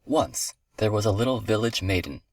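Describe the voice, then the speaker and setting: A man's voice: fairly low pitch, animated, normal speed, no echo. A man reading a scripted fairy tale for children as a storyteller.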